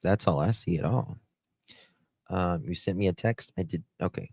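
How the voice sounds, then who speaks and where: Southern dude hosting a stream, takes his time, dry close mic, lots of fry. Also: low voice, a little mellow, no room sound. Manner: halting, unhurried. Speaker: livestream host. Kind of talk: casual livestream commentary. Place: small room at home, indoors. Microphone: close desk microphone.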